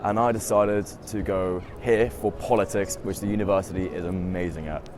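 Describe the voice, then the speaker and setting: A man's voice: low-pitched, steady tone, normal speed, background noise. A young man in his twenties, a students' union officer who grew up in the UK, answering interview questions in an upbeat, chatty way with lots of "I mean" and "um". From United Kingdom. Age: 20s.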